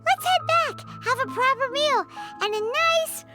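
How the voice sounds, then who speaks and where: Squeaky, extremely high tiny fairy. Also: female voice, lively intonation, unhurried. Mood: cheerful, eager. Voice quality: squeaky, childlike. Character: tiny, chirpy childlike female fairy companion. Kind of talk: cute video game character acting. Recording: loud background music under the voice.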